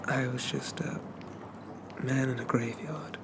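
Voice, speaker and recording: A man playing a shy, polite, lovestruck Victorian zombie gentleman, speaking softly and haltingly with flowery apologies in an ASMR roleplay. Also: medium pitch, fairly even intonation, vocal fry, background noise. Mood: tender, earnest. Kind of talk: ASMR roleplay, soft-spoken character monologue.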